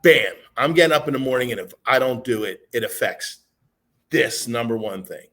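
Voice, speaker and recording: A man with a raspy voice hosting a podcast interview, encouraging and motivational, rambling a little as he asks his questions. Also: mid-range voice, normal speed, a bit bright. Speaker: podcast host.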